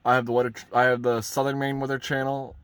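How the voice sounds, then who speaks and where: A man's voice: medium pitch, level tone, fast, slight background noise. A man giving an informal hands-on tutorial inside a vehicle, rambling and thinking aloud as he goes. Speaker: vlogger presenting a truck radio install. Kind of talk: casual hands-on tutorial talk. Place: inside a parked pickup truck cab.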